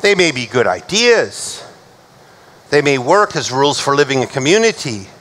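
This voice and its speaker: A middle-aged male pastor delivering a measured, emphatic sermon from a church pulpit. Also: mid-range voice, sing-song, fairly bright tone. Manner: measured, emphatic preaching, slowing for recited scripture.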